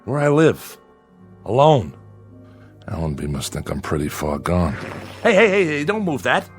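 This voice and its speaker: An actor playing a lonely, prickly exiled man, gruff and sardonic, in a science fiction audio drama. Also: medium pitch, wildly swooping pitch, unhurried, faint music behind. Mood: wary. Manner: gruff, wry, guarded.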